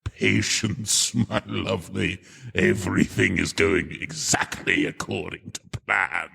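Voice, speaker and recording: A man playing a sinister, patient mastermind in a radio drama, speaking slowly and deliberately with a gravelly voice and menacing calm. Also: low voice, full character voice.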